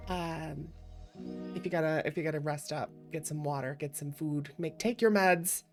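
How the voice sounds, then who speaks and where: A woman hosting a livestream, chatting casually and playfully with viewers in an expressive way over background music. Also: low-pitched, normal speed. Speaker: streamer, variety VTuber and host.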